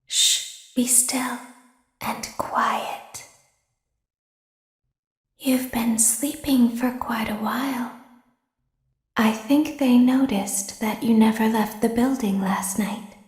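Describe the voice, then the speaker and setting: A woman voicing a sinister, girlish female animatronic who talks in a soft, menacing whisper. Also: a little high, steady tone, takes it slowly, pauses often, reverberant. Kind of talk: fan voice acting of game lines.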